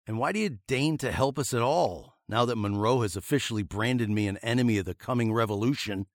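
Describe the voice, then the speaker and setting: A man playing a weary, wry nineteenth-century fugitive, telling his tale in a deliberate, literary way for a radio drama. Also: medium pitch, dry recording, studio quality, natural voice. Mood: wary. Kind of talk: radio drama narration and acting.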